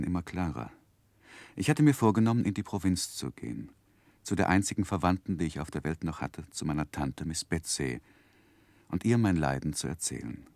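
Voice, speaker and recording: An earnest narrator looking back on his boyhood in a 1960s radio drama. The voice is very low yet moves expressively, with measured, literary phrasing and a close, dry sound. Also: a little mellow, no room sound. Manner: measured, reflective, literary storytelling. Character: earnest grown man narrating his own boyhood memoir.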